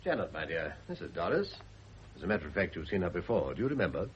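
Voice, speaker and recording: An actor playing a courteous gentleman husband, slightly hesitant, in a radio drama. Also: deep voice, very animated, fast. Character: courteous, brisk gentleman husband, newly remarried. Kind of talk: 1970s radio drama acting. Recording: dull, noisy old radio recording.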